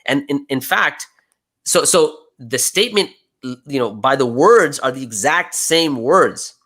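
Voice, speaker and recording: A man's voice: mid-range voice, lively intonation, a little slow, bright, forceful tone. A young American man talking casually on a live stream, chatty and laughing often, full of "you know" and "right". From United States. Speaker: guest speaker.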